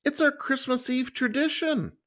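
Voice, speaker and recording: A man's voice: very high voice, unhurried, fairly bright tone, pitched above the performer's own voice. A man voicing a curious, earnest young boy bear cub from a storybook. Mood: protesting. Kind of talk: storybook character voice.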